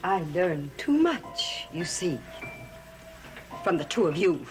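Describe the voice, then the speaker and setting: A woman's voice: lowish voice, very animated, slow, music in the background. A woman playing a defiant, wounded daughter of witches in a gothic soap opera. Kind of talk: gothic soap opera acting, slow and dramatic.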